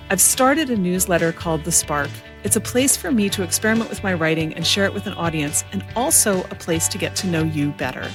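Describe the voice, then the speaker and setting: A woman presents a podcast as its host and creativity coach. Her pitch sits in the middle range and her delivery is that of a prepared introduction and interview. Some music plays lightly behind her.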